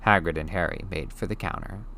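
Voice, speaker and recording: A man reading a novel chapter aloud as an audiobook narrator, with steady, clear storytelling. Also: deep voice, brisk, slight background noise. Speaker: audiobook reader.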